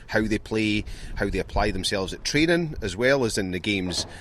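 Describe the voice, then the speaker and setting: A man, a rugby coach, answering questions in a measured, hesitant way in a pre-match interview. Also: on the low side, animated, fairly bright tone. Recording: faint outdoor background noise.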